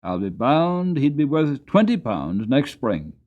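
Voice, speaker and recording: A man's voice: mid-range voice, sing-song, measured pace, a little mellow, highs cut off, voice pushed up. A man voicing a wheedling, folksy working-class horse seller with emphatic sales patter, in a dramatic audiobook reading.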